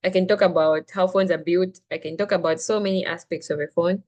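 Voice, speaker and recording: Chatty young woman tutor, lower voice. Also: fairly even intonation, a little fast, darkish tone. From Zambia. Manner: chatty, frequent "like" and "right?", restarts sentences. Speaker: SAT reading tutor. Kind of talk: casual classroom teaching.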